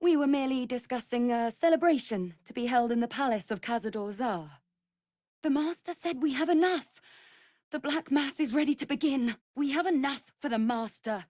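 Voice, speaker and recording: A woman's voice: sits high, normal speed, a little mellow, dry recording, clean studio sound. A woman playing an anxious, devoted vampire spawn in a fantasy video game, speaking formally and earnestly. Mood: formal, guarded. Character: anxious, devoted female vampire spawn serving her master.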